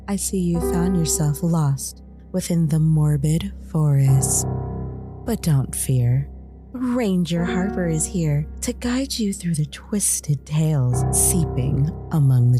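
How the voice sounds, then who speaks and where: A woman's voice: sits low, expressive, slow pace. A woman playing an eerie forest ranger who guides lost travelers in a scripted horror podcast trailer, with an ominous, beckoning manner. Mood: eerie, inviting. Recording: music behind the voice. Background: music.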